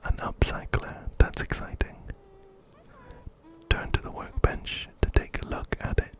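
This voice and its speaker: Guy whispering asmr game playthrough, super slow n breathy, close up ear to ear mic, repeats stuff softly. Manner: soothing, repeats words and phrases softly. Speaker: ASMR gaming host. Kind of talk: ASMR game playthrough, reading dialogue and narrating. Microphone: close-up binaural ear-to-ear mic.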